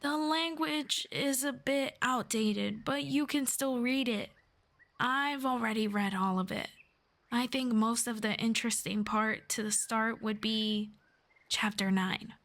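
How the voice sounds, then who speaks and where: A woman playing a gentle, earnest elf friend in a soft-spoken, measured ASMR fantasy roleplay. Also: a little high. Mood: calm, confiding. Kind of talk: slow scripted ASMR roleplay.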